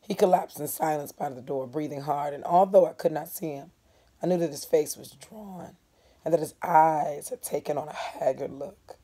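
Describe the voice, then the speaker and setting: A hoarse woman with a cold, reading a novel dramatically as a teacher, deliberate and emphatic, with instructive asides. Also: deep voice, warm tone. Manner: deliberate, emphatic, pausing on key words.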